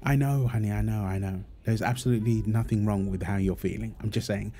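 A man playing a gentle, reassuring young father and husband in an intimate audio roleplay, talking in a soothing, affectionate, casual way. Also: lowish voice, fairly even intonation, a little fast, faint music behind, close to an everyday voice. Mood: reassuring, tender. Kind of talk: intimate comfort roleplay, casual one-sided dialogue.

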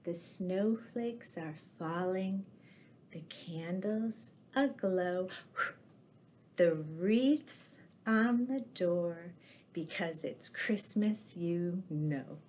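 Slow-paced woman reading a storybook aloud. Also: lowish voice, a little hiss or hum. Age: middle-aged. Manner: slow, expressive, measured read-aloud delivery. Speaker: storyteller in costume as Mrs. Claus. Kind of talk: reading children's picture books aloud. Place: living room with fireplace, indoors.

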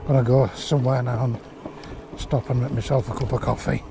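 Middle-aged guy vlogging on a bike ride, chatty, laughs a lot, mid pitch, wind noise on the cam mic. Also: level tone, a little fast, gravelly. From England. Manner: chatty, rambling, chuckles often. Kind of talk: casual vlog narration while riding. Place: outdoors on windy cliff tops. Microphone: action camera on handlebars and held near face.

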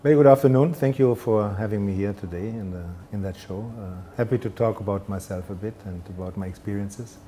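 A man, his voice low and mellow. He answers interview questions in a hesitant, self-deprecating way, full of fillers and restarts. A faint hiss sits under the studio recording. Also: brisk, few pauses. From Germany. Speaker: guest, telecom executive. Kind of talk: conversational interview. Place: studio set with brick wall, indoors.

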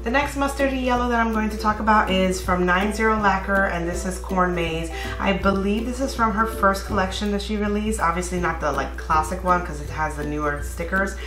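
A woman's voice: medium pitch, brisk, hardly any pauses. Bubbly vlogger woman in her 30s, chatty, echoey home room, faint music behind. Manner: bubbly, gushing, many fillers. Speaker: nail polish YouTuber. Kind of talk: casual vlog chat. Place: bedroom at home.

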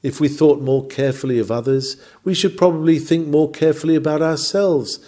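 A man's voice: fairly high pitch, steady tone, fairly quick, mellow. A man reading a scripted sermon in an earnest, exhorting, oratorical manner. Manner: earnest, exhorting, rhetorical delivery. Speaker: sermon reader.

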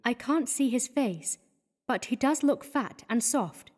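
A man reading aloud, voicing a young woman who is an artist's model, lively and chiding. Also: extremely high pitch, unhurried, big-room sound, pitched above the performer's own voice, heavily acted. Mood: musing.

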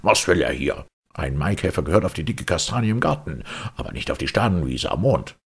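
A man voicing a grumpy, excitable, ancient little sandman in a storybook reading, blustering and bossy. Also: deep voice, a little fast, gravelly, husky, voice pushed up, heavily acted. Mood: indignant, agitated.

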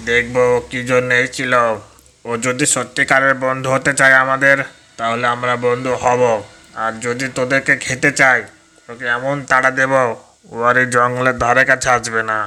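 A man's voice: mid-range voice, bright, forceful tone, clean tone, voice pushed down. A man voicing a protective, authoritative animal king of the forest in a children's cartoon, commanding and reassuring.

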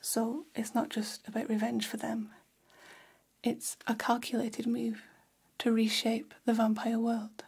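A woman playing a gossipy, flattering vampire hair stylist in a whispered ASMR role-play, speaking in a soft, breathy, conspiratorial hush. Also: on the high side, full character voice. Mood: conspiratorial, intrigued. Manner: slow, hushed, conspiratorial, indulgent. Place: small decorated indoor room.

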